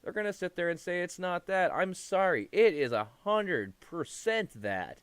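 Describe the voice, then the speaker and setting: A man's voice: high-pitched, animated, normal speed, fairly bright tone, clear, resonant tone, no echo. A man giving unscripted, opinionated commentary as a YouTuber, rambling, repeating himself and swearing. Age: adult past his teens. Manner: rambling, repetitive, profane.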